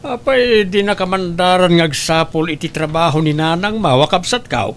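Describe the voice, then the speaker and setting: A man's voice: high-pitched, animated, moderate pace, a little hiss or hum. A man with a Filipino accent playing a stern, nagging middle-aged father and uncle in a comedy drama, scolding and questioning. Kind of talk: amateur comedy drama acting.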